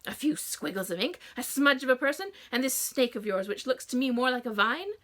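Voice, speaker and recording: A woman's voice: lively intonation, brisk, fairly bright tone. A woman voicing a fretful, overdramatic middle-aged mother who wails and scolds theatrically. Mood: wailing, dramatic. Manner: shrill theatrical wailing.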